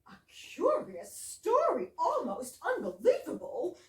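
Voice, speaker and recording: A woman's voice: high voice, huge pitch swings, measured pace, heavily acted. A woman in amateur readers' theater playing a gushing, genteel English wife in an absurdist comedy. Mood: impressed. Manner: gushing, exaggerated politeness. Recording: echoey room, distant mic, faint noise.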